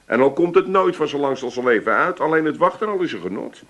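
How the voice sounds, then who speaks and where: An actor playing a confident, scheming hustler who coaxes and persuades in a comic radio play. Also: male voice, fairly high pitch, lively intonation, fast, fairly bright tone. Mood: coaxing, confident. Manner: coaxing, self-assured, tag questions.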